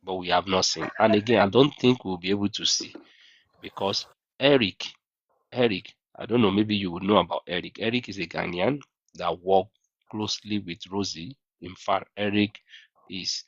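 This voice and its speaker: Male livestream host, very low voice, questioning; dry, muffled lo-fi audio. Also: moderate pace, dry recording. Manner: questioning, reads out viewer questions. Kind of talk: livestream interview.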